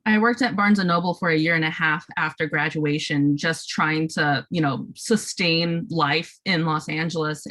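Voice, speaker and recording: A woman's voice: sits low, fairly bright tone. A young American woman giving candid, encouraging career advice on a video call, speaking casually and with emphasis. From St. Louis, United States. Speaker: animation professional on a panel. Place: indoor room, video call. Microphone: video call microphone.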